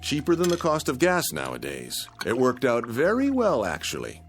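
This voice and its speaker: Animated, fussy penny-pinching husband. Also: male voice, mid-range voice, normal speed, soft background music. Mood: smug, mild. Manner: genteel, fussy, wordy, musing to himself. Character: mild, penny-pinching husband, eager about free bargains. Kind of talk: radio drama acting.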